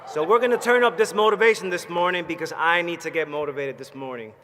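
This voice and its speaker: A man in his thirties speaks forcefully into a podium mic. His delivery is fired-up and plainspoken, and his voice rings through a big ceremony hall. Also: a little high, fast talker. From Puerto Rico. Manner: fired-up, rousing, plainspoken and personal. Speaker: commencement speaker, Marine veteran graduate. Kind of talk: motivational commencement speech. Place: large ceremony hall, from a stage. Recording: long hall reverb, faint background noise.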